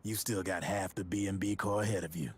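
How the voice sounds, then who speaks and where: An actor playing a sly middle-aged arms dealer, talking in a wry, husky storytelling voice. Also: male voice, low voice, steady tone, a little fast.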